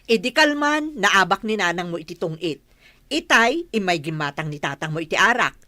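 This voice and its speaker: A woman playing an exasperated, judgmental but soft-hearted village auntie shopkeeper with a northern Philippine accent in a comedy radio drama. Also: fairly low pitch, very animated, normal speed, no room sound. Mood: grumbling. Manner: scolding, exasperated.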